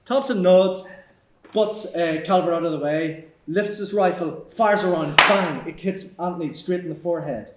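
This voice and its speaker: Casting: male narrator for screenplay action lines, higher-pitched, recorded with clear room echo. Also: moderate pace, heavy background noise. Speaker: narrator reading stage directions. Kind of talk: scripted screenplay narration at a table read. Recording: echoey room.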